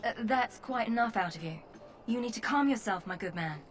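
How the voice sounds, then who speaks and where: A brisk female camp guard, voiced by a woman speaks in a curt, formal manner. She sounds businesslike and keeps order without fuss. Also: on the high side, animated, natural voice. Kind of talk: video game voice acting.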